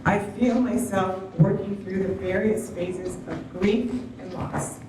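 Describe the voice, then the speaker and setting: A woman's voice: medium pitch, steady tone, slow, noisy background. A woman giving an earnest, rousing speech at a town hall podium. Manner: earnest, soft-spoken, rousing. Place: large hall on stage. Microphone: distant stand mic, room pickup. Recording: echoey hall heard from far off.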